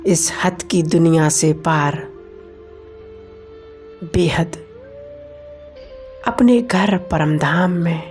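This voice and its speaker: A woman leading a guided meditation in deliberate phrases with pauses between them. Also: fairly low pitch, darkish tone. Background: meditation music.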